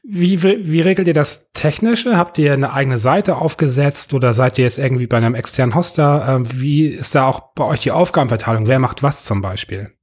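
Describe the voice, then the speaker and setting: A man interviewing a guest in a relaxed conversation, pausing often with fillers and restarting his sentences. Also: mid-range voice. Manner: hesitant, many fillers and restarts. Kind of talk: casual interview.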